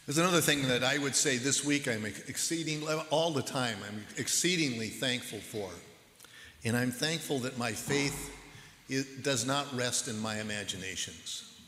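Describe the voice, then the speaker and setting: A man preaching a sermon as pastor in a church, with a measured, earnest delivery. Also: medium pitch, sharp tone, slight background noise. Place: church sanctuary. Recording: echoey hall with long reverb.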